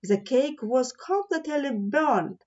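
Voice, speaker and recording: Slow, lilting woman storyteller. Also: high-pitched, animated, darkish tone, muffled recording. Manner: slow, lilting read-aloud delivery. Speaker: audiobook narrator. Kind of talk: slow storybook narration for children.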